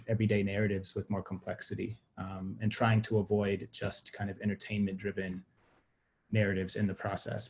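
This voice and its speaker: Man, from sweden, young adult, guest on a video call, dark mellow voice, low and even. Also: a little hiss or hum. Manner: thoughtful, expansive, trails off into restarts. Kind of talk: conversational interview on a video call.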